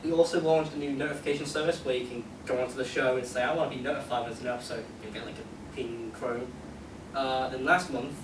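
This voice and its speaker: A man giving a casual convention panel presentation in an informal, self-deprecating way. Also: medium pitch. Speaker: panellist, website developer. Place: convention panel room indoors. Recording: echoey, distant, noisy room.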